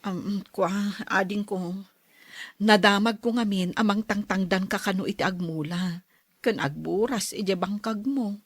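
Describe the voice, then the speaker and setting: A woman's voice: medium pitch, measured pace, slightly rough, dry recording. An actress playing a neighbor woman who has spent all her money and is now asking for help, speaking in a worried, pleading way. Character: neighbor woman fallen on hard times after spending. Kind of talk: audio drama acting.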